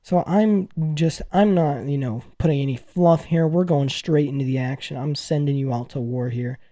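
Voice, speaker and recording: A man giving casual gaming commentary to viewers in an excitable, gushing manner, as the host of a news video. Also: on the high side, moderate pace, no room sound.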